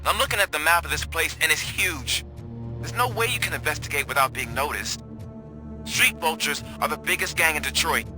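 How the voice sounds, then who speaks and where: A man's voice: on the high side, brisk, music in the background, close to an everyday voice. An actor playing an eager, nervous male corporate rookie in a video game, flustered, hesitant and pleading. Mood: anxious warning.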